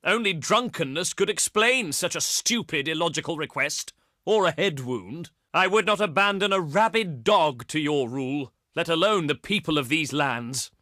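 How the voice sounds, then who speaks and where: A proud, scornful Greek envoy from antiquity in a strategy game. His voice sits high and rings out sharp and forceful, swinging widely as he declaims. The delivery is pompous and dripping with sarcasm. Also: animated, normal speed, no room sound, clean studio sound. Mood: contemptuous refusal. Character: haughty, scornful ancient Greek diplomat, male. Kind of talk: theatrical video game diplomacy lines.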